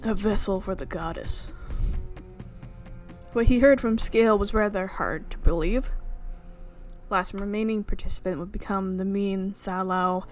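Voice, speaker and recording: A woman doing expressive let's play commentary, mixing dramatic reading of a visual novel with casual, reactive asides. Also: mid-range voice, a little slow, pauses often. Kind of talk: game commentary mixed with dramatic read-aloud. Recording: music playing behind the voice.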